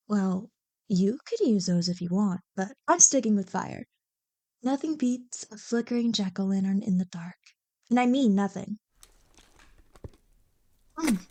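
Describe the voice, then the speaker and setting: A woman playing a playful, teasing young childhood friend who loves Halloween, in an animated, bantering ASMR roleplay. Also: on the high side, lightly acted. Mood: bossy, excited, then chatty. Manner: animated, bantering, mock-exasperated. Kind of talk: ASMR roleplay, one-sided scripted conversation.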